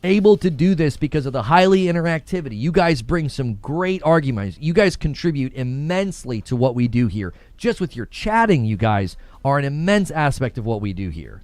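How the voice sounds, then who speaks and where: A man hosting a livestream in a casual, slangy, conversational way, reading out viewer messages and shout-outs and repeating himself for emphasis. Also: mid-range voice, sing-song, brisk, few pauses, dry recording. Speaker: livestream host.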